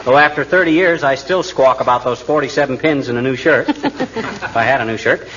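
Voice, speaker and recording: An actor playing a blustering, wisecracking middle-aged husband in 1940s radio comedy, brash, slangy and full of exclamations. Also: male voice, medium pitch, a little fast, a bit bright, close to an everyday voice. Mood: puzzled, joking. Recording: noisy muffled 1940s radio recording, studio audience.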